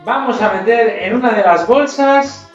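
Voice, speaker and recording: A middle-aged man with a Spain Spanish accent teaches a science experiment, giving clear instructions to children. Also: extremely high pitch, measured pace. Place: home kitchen, indoors. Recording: roomy, distant, dull kitchen audio. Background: background music.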